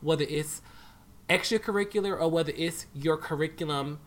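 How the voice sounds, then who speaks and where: A man's voice: fairly high pitch, a little fast. Man, podcast co-host, dance coach, emphatic, repeats to stress points. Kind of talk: casual chat.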